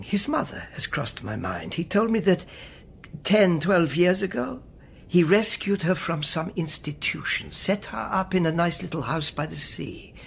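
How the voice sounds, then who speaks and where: An older Czech spymaster, a courteous, wistful man in a radio drama. He persuades slowly and musingly with a lively melody and frequent near whispers. There is a faint hiss and rumble behind him. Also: creaky, full character voice. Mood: gently probing. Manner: deliberate, musing, often dropping to near whisper.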